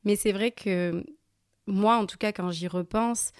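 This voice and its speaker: A woman's voice: mid-range voice, fairly even intonation, a little slow, no room sound, clean studio sound. A woman hosting a podcast, chatting casually with a friend and guest, with frequent small restarts. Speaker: podcast host. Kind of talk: casual interview conversation.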